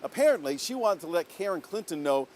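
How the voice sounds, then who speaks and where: A man's voice: sits high, very animated, brisk, a little mellow, a little hiss or hum. An American man reading scripted news narration as a reporter.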